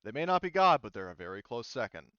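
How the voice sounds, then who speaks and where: Tabletop sci-fi courier woman, played by a man, casual, earnest explainer, smooth voice, slightly dull dry sound. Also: medium pitch, big pitch swings, fast, a bit bright, no room sound. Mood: earnest explaining. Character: Dev, casual female hired courier explaining ancient alien lore.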